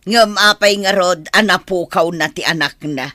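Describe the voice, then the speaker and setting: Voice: mid-range voice, moderate pace, pushed and bright, dry recording, clean studio sound, close to an everyday voice. An actor playing a spiteful, skeptical village gossip in an Ilocano drama, scornful and questioning. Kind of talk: Ilocano radio-style drama acting.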